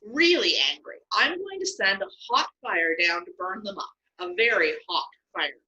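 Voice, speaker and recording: Woman reading God, stern and angry, slow firm delivery, bright tone. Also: medium pitch, animated, dull audio.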